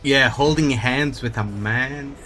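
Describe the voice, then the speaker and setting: A man's voice: mid-range voice, slow pace, bright, forceful tone, faint music behind. A man doing casual livestream commentary on a game, with a crude, slangy, joking manner.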